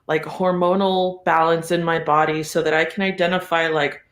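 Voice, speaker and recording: A podcast guest with a mid-pitched voice, talking casually and reflectively in a meandering, thoughtful way with a readiness to chuckle. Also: flat delivery, moderate pace, fairly bright tone. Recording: roomy, echoey sound.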